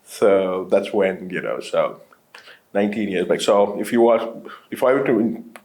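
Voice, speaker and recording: A low-pitched man from Qatar in his late thirties talks through an interview in a loose, rambling way. He circles back on his sentences, drops in fillers and laughs easily as he goes. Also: unhurried, darkish tone. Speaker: entrepreneur guest. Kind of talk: casual interview conversation.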